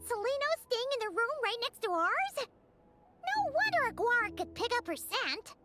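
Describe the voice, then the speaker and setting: A woman's voice: very high voice, sing-song, a little slow, loud music behind the voice, exaggerated voice. A woman voicing a small, childlike, curious companion creature in a video game. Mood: puzzled.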